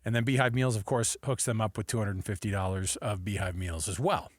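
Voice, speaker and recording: A man's voice: lowish voice, brisk, dry recording. A man hosting a sports radio show in a casual, folksy, chatty way, close on a studio microphone.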